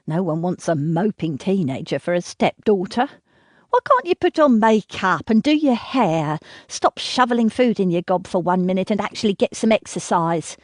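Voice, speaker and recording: A woman's voice: darkish tone, no room sound, clean studio sound. A woman voicing a cruel, self-pitying, volatile middle-aged mother who berates and pleads by turns. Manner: shrill, berating, swinging to sobbing pleas.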